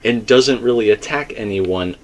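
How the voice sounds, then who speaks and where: A man's voice: medium pitch, normal speed, darkish tone, a little hiss or hum. Man, casual discussion, emphatic explainer, mid-range voice, circles back to his points. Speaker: video game theorist.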